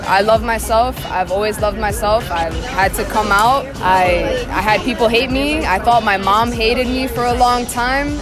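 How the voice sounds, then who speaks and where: Young woman on a loud street. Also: on the high side, measured pace, few pauses. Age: young adult. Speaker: interviewee. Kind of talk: casual, earnest testimony. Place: busy city street outdoors. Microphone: handheld phone. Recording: loud music, crowd and traffic.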